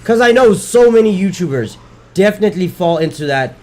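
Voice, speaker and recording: A man streams a game and chats at the same time, his speech a little higher than most men's. His voice is worn from daily streaming. He rambles, doubles back on his words and swears casually between moves. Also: animated, a little fast, a little mellow. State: losing his voice. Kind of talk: casual chat while gaming.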